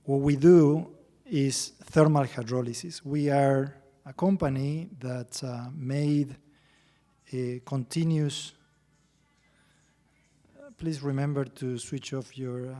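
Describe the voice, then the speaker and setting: A middle-aged native Spanish speaker from Argentina, a man with an Argentinian accent, presenting at a conference in halting, careful phrases. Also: medium pitch, steady tone, mellow. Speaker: company executive presenting at a conference. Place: large conference hall at a podium. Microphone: podium microphone. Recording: reverberant conference hall sound.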